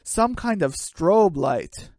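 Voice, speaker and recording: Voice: mid-range voice, animated, slow pace, darkish tone, no room sound. Sensible, exasperated chicken guardian read by an adult performer, cautious, close dry sound, radio play. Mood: wary. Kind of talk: hobby comedy radio play.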